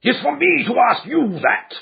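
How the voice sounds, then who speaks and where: A man's voice: extremely high pitch, very animated, unhurried, creaky, voice pushed up, exaggerated voice. A man playing a fat, burly villain who shrieks and rants at intruders, on a dull recording. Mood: raging. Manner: shrieking, ranting.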